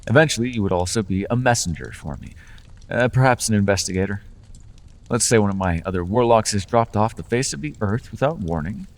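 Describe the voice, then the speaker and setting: A man's voice: fairly low pitch, animated, brisk, big-room sound. A man voicing a playful male fae patron in an ASMR fantasy roleplay, talking in a charming, teasing, conversational way with small restarts.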